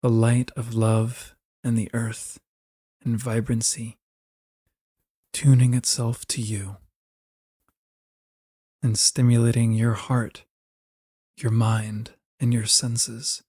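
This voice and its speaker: A man narrating a scripted guided meditation in a gentle, soothing manner, giving soft, unhurried instructions close to the mic. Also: medium pitch, level tone, husky, no echo.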